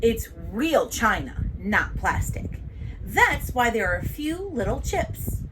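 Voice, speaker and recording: A woman's voice: a little high, sing-song, slow pace, background noise. A woman voicing a touchy, proud little girl in a storybook, speaking in an emphatic, childlike way.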